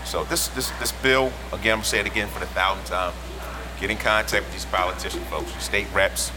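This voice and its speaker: A man's voice: fairly low pitch, steady tone, fast, over music. A man talking as a guest on a podcast in a cigar lounge, urging listeners in an emphatic way. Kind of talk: casual roundtable chat. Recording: noisy with passing traffic.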